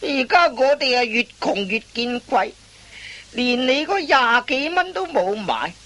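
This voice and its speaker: A woman playing a sly old thief, slow drawl. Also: high-pitched, expressive, fairly bright tone, heavily acted. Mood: lecturing, scheming. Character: sly, boastful old veteran thief mentoring an apprentice. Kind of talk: radio comedy drama acting.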